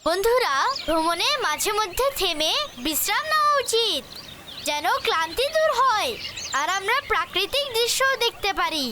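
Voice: very high-pitched, very animated, normal speed, very noisy. A performer voicing a cheerful, eager little boy in a children's cartoon, exclaiming and instructing young viewers enthusiastically. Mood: eager, instructive.

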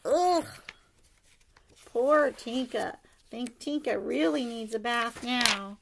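A middle-aged woman with a high, bright voice reads to preschoolers at an unhurried pace. She is expressive and sing-song, like a teacher at story time, and often puts questions to the children. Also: gravelly. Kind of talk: animated picture-book read-aloud for young children. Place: living room at home, indoors.

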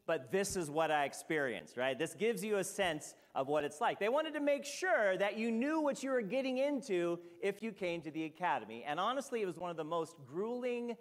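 A fairly high-voiced man in his fifties preaches from a church pulpit, telling stories with animated asides. His voice carries a long hall reverb around it. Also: moderate pace, hardly any pauses. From Hawaii. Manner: animated storytelling with sweeping gestures and asides. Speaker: senior pastor. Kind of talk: sermon.

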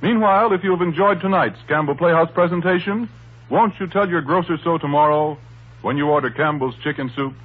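A man's voice: a little high, a little fast, breathy edge. Tinny 1930s male radio announcer. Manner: formal, polished. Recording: tinny, band-limited 1930s radio broadcast. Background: faint music.

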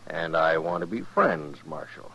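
A man playing a sly, insinuating frontier gambler in a 1950s radio western, with drawn-out folksy speech and a husky voice. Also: rumbling low, lively intonation, sharp tone, full character voice. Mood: oily, persuasive. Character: smooth, scheming gambler, a stranger in town. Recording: dull 1950s radio recording.

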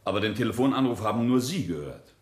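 A man's voice: on the low side, expressive, fast talker, lightly acted. An actor playing an accused wealthy family man in a radio drama, intense and earnest. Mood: defensive, disbelieving. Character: accused wealthy businessman, bitter, regretful husband and father. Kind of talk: German radio drama acting.

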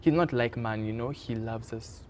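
A man's voice: mid-range voice, normal speed, a little mellow. A young man from Kenya talks in an upbeat, chatty way, answering questions for a singing contest. He sits in an outdoor courtyard garden with a lapel mic, and a faint hum of ambience sits behind him. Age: young adult. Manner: upbeat, chatty, laughs easily. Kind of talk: casual interview answers.

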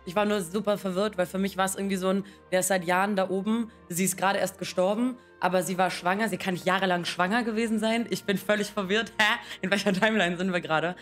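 A woman's voice: medium pitch, fairly even intonation, fast, hardly any pauses, faint music behind. A young adult woman chatting casually as she plays a game, on a close streaming mic. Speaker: streamer and player.

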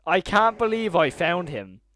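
A man's voice: high-pitched, very animated, unhurried, a bit bright, slight background noise. A young adult man reacting to a video in a casual, enthusiastic, slangy way, talking close into a microphone in a bedroom studio. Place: small bedroom studio, indoors. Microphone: close boom-arm microphone.

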